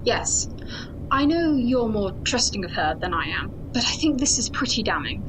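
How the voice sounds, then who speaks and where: Sci-fi audio drama: female security chief, higher register, rigid, suspicious, formal, with clear ambient noise behind. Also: very animated, normal speed. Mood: insistent, suspicious. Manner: clipped, formal, officious. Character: suspicious, hard-line female security chief, military officer.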